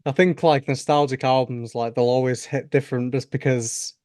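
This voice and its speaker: A man's voice: mid-range voice, fairly even intonation, normal speed, a little mellow, dry recording, studio quality. A man hosting a podcast, chatting enthusiastically and casually with lots of "like" and "you know". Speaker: podcast host. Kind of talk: casual chat.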